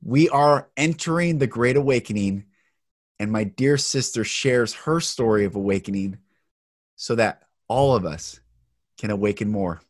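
A man hosting a spiritual podcast, speaking earnestly and slowly as he introduces and questions his guest. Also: on the low side, no echo, clean studio sound. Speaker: podcast host.

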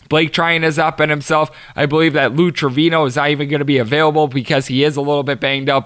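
A man hosting a sports betting podcast, delivering steady, stat-heavy analysis in a slightly raspy voice. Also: a little high, no echo.

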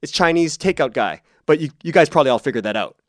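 A man's voice: a little high, expressive, quick pace, no echo, clean studio sound. A man speaking as an interview guest, telling personal stories conversationally and casually, acting out quotes as he goes. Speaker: interview guest, podcast creator and writer.

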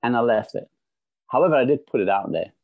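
A man's voice: medium pitch, fast talker, no room sound. Belgian-born man telling a story. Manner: animated storyteller, repeats words when starting a sentence. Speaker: guest, motivational speaker. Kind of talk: storytelling in a podcast interview. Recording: dry, dull lo-fi sound.

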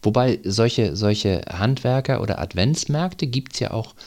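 A man's voice: medium pitch, expressive, a little slow, a little mellow. A man from North Rhine-Westphalia hosting an interview, talking casually and hesitantly, with lots of fillers and restarts. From North Rhine-Westphalia, Germany. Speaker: host.

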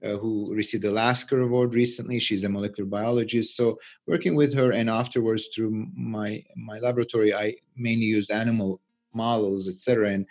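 A middle-aged man with a Turkish accent, giving unscripted interview answers as a scientist, halting and often repeating words. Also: lowish voice, a little mellow, slightly rough. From Turkey. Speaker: interview guest, research scientist and physician.

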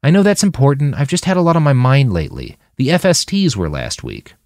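A man's voice: mid-range voice, big pitch swings, fairly quick, no room sound, clean studio sound, pitched above the performer's own voice, natural voice. A man voicing a devout, guarded teenage daughter in an audiobook, placating and outwardly dutiful. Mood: apologetic.

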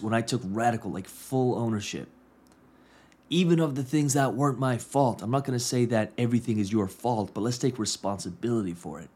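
A man in his thirties talks through a coaching podcast episode in a low-pitched voice. He speaks earnestly and hammers points home by repeating phrases. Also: darkish tone. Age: 30s. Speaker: podcast host and business coach. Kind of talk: casual reflective monologue.

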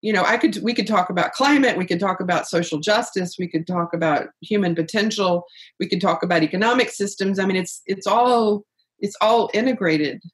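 A middle-aged woman speaking earnestly and reflectively as an interview guest about purpose and spirit, with soft laughs. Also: mid-range voice, fairly quick. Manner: earnest, searching, laughs softly. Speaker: guest, author on life purpose.

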